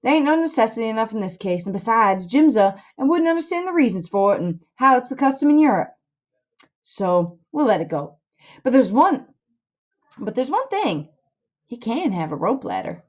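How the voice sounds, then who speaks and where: A woman's voice: fairly high pitch, very animated, normal speed. A woman voicing a bossy, grandiose boy schemer in a storybook reading, lecturing scornfully in rustic dialect. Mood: reluctant, reasoning.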